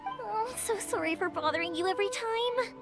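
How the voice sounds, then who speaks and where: A woman's voice: extremely high pitch, brisk. A woman voicing a cheerful, talkative young fireworks maker in a game dub, eager to help everyone. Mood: warm. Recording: game audio with music behind.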